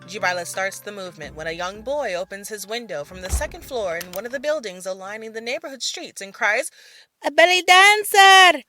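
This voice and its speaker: A woman's voice: medium pitch, expressive, a little fast, few pauses, fairly bright tone, soft background music. A woman narrating a scripted audio drama as its storyteller.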